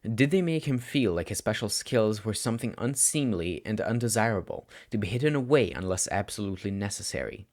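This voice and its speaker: A man's voice: on the low side, animated, a little fast, hardly any pauses, a little mellow. A man reading a scripted story as an audiobook narrator. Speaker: podfic narrator.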